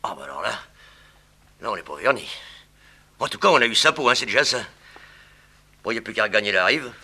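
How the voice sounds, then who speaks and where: An actor playing a sly, vengeful gangster in a 1960s French radio crime serial, with an excitable, sneering, slangy delivery. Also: male voice, on the low side, fairly quick, husky, heavily acted. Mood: smug, sly. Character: gloating, vengeful male gangster, sly villain back from the gallows.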